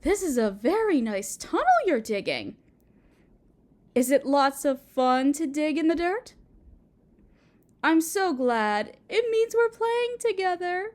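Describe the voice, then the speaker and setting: A woman playing a doting, possessive Mother Earth goddess in a roleplay audio drama, sweet and cooing as if to a small child, with gentle menace. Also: high-pitched, sing-song, takes it slowly, heavily acted. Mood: playful doting.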